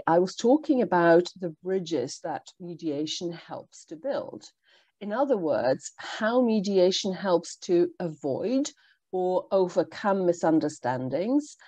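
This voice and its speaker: A woman whose first language is Polish, presenting a workshop for language teachers in a measured, explanatory way with frequent ums. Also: fairly low pitch, dark tone, dry recording. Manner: measured, explanatory, with pauses and fillers. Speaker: teacher trainer.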